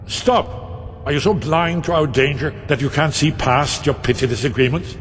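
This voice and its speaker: Grave doom-warning warrior for a game, played by a man: urgent, raised pitch, big reverberant space. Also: normal speed, a little hiss or hum, close to an everyday voice. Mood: urgent, reproachful. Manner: urgent, oratorical, scolding. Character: grave, impassioned warrior pleading against doom. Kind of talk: dramatic fantasy game dialogue.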